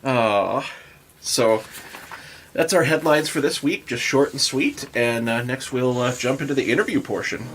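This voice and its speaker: A man's voice: mid-range voice, a little slow, low-quality recording. An American man hosting a podcast, chatting and interviewing in an informal, loosely phrased way. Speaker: podcast host. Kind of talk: casual podcast chat and interviewing.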